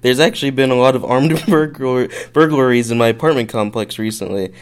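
A man's voice: medium pitch, brisk. A man doing an amateur script read-through with friends, giggly and often breaking character.

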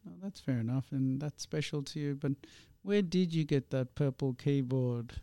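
A man's voice: mid-range voice, normal speed, warm tone, no room sound. A man originally from India who hosts the interview, chatting in a friendly, rambling way with many fillers. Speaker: host.

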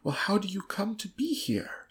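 Guy doing a huffy snobby young victorian lady from a storybook, kinda raspy. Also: high voice, sing-song, moderate pace, a bit bright, voice pushed up, exaggerated voice. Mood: huffy, peevish. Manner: huffy, showing off. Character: peevish, sneery young woman of Victorian society.

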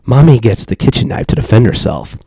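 A man doing an impression of a menacing male villain, in a slow, low, breathy near-whisper with long pauses. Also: big pitch swings, dark tone, dry recording, heavily acted.

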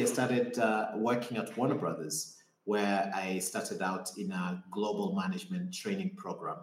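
A man answers interview questions in a low, deep voice. He tells his story in a reflective, measured way. His remote call picks up a roomy echo. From Kenya. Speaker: guest. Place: home or office in Nairobi.

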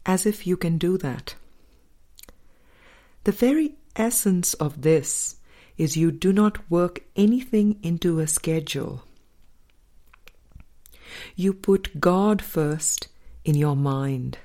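A woman with a low-pitched voice reads a spiritual audiobook. Her delivery is slow and deliberate, with pauses between phrases. The tone is soft-edged and mellow. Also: animated. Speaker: audiobook reader. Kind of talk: scripted spiritual audiobook narration.

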